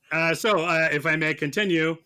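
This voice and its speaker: Bright, forceful, sarcastic man. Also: on the high side. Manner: sarcastic, halting. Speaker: mock-trial prosecutor on a film podcast. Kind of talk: mock courtroom argument, conversational.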